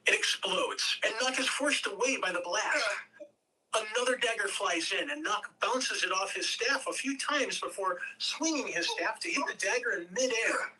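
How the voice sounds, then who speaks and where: A woman reading stage directions as narrator at a table read, measured, with occasional stumbles over words. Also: medium pitch, expressive, sharp tone, far from the mic, low-quality recording.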